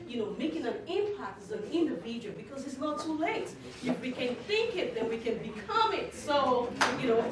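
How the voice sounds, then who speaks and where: High-voiced woman hosting in an echoey hall. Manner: enthusiastic, laughing, encouraging audience. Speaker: event host. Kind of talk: lively community event hosting. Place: large echoey community hall. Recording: echoey hall recording from far off. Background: crowd murmur and chatter.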